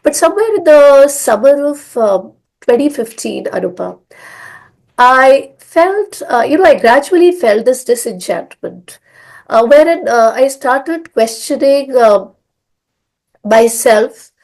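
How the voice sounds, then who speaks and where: A middle-aged woman from India. She talks at a somewhat raised pitch. She rambles warmly through her memories, with many "uh"s and "you know"s along the way. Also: lively intonation, slow, low-quality recording. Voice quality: hoarse. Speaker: guest, language school founder. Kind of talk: conversational storytelling.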